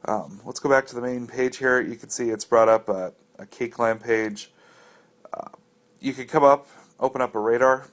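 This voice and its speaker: A man's voice: medium pitch, steady tone, normal speed, fairly bright tone. An American man narrating a casual, unscripted app walkthrough in a conversational, explanatory and somewhat hesitant way. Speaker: app reviewer giving a walkthrough.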